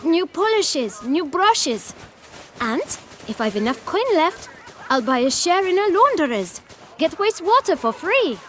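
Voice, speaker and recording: A woman's voice: extremely high pitch, sing-song, measured pace, fairly bright tone, a little hiss or hum, exaggerated voice. A woman voicing a glib, enterprising young street boy in a video game. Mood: eager, bargaining. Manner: glib, mock-formal salesmanship.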